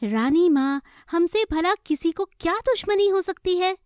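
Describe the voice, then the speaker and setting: A woman's voice: expressive, a little slow, a little mellow, dry recording, studio quality. A woman voicing a young, innocent fairy princess in a children's cartoon story, earnest and girlish. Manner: high, earnest, girlish delivery.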